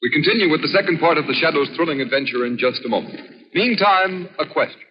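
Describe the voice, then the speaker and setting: A man's voice: on the high side, lively intonation, quick pace. A man announcing a scripted 1930s radio advertisement in an emphatic, persuasive way. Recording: muffled, reverberant 1930s radio recording.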